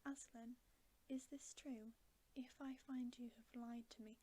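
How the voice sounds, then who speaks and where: A woman's voice: on the high side, little pitch movement, unhurried, mellow. A woman voicing a cruel, imperious witch queen, commanding and threatening, in a whispered storybook reading. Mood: alarmed, threatening.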